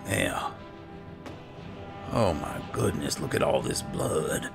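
Deep gravelly man, halting. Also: wildly swooping pitch. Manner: halting, repeats himself. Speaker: gamer roleplaying a video game character. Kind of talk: improvised in-character roleplay commentary. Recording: game music under the voice.

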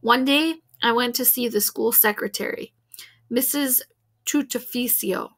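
A woman's voice: a little high, unhurried, a bit bright. A woman reading a children's book aloud expressively as a storyteller. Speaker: read-aloud reader.

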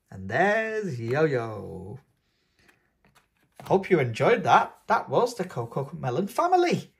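A man's voice: a little high, breathy edge. A man reading a picture book aloud to toddlers in a sing-song, coaxing tone as host of his own channel. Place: indoors at a tabletop, hands showing a book.

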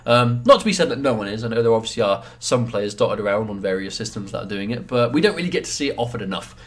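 A British man with a head cold and a congested voice, doing quick analytical commentary on a fighting game. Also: fairly low pitch, animated. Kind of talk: esports commentary.

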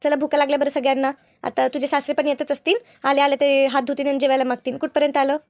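Cartoon family drama, woman acting older mother-in-law, high voice, demanding, nagging, commanding. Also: level tone, fast, no echo, lo-fi sound. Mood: impatient, nagging.

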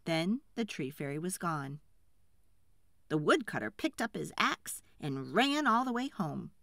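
A woman reading a children's storybook aloud in an expressive, deliberate storytelling style. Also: on the low side, vocal fry, no room sound, clean studio sound.

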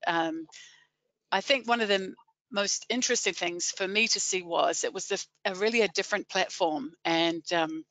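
Bright-voiced New Zealand woman, webinar guest, chatty and hesitant, dry close audio. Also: on the low side, moderate pace, no echo. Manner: friendly, hesitant with many fillers. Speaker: guest, education and marketing staff. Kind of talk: casual webinar chat.

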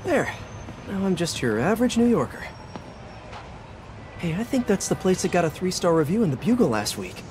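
An actor playing a quippy young American superhero who mutters wryly to himself in a video game. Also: male voice, high-pitched, very animated, moderate pace, long pauses, a little mellow. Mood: focused, quippy. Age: young adult. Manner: wry self-talk. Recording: clear city street noise behind.

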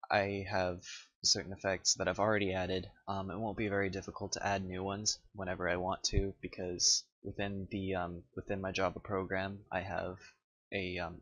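Low, flat-voiced man giving a tech demo. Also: husky, no room sound, rough recording. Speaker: software developer demonstrating own program. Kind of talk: casual screencast demo narration.

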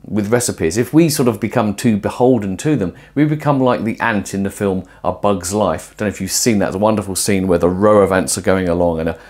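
A man in his forties talking to camera while cooking in a small home kitchen, conversational and musing, with lively emphasis. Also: on the low side. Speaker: presenter of a cooking and philosophy video. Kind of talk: casual explanatory talk to camera while cooking. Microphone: lapel mic.

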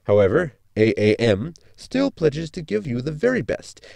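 An actor playing an articulate, bookish science officer in a sci-fi comedy audio drama, speaking formally and precisely. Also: male voice, on the high side, huge pitch swings, normal speed, vocal fry, dark tone, no room sound, exaggerated voice. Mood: reading aloud. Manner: formal, precise, long-winded.

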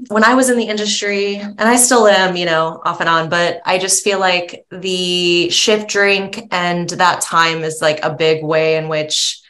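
A young woman interviewing over a video call, asking questions tentatively with many likes and ums, from a bright room at home. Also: fairly low pitch.

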